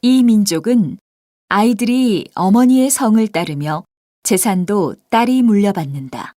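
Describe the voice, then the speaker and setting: A woman voicing scripted dialogues and example sentences for a language textbook, with clear, expressive line reading. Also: mid-range voice, a little slow, dry recording. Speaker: textbook audio voice actor.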